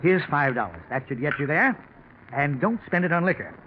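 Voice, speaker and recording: A man's voice: fairly high pitch, very animated, a little fast. Scheming radio businessman on tinny recording. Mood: coaxing, evasive. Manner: genteel, coaxing, repeats words. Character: well-off, scheming businessman, smooth-talking and nervous. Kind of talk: 1940s radio mystery acting. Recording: scratchy, narrow-band 1940s radio recording.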